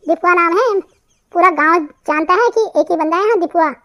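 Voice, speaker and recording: Rural lad, very squeaky high voice, Kumaoni accent, cocky, scolding, hot-tempered, comic cartoon. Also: female voice, moderate pace, pushed and bright. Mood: cocky, confrontational. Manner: brash, scolding, boastful. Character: cocky, hot-tempered village lad protecting his mother.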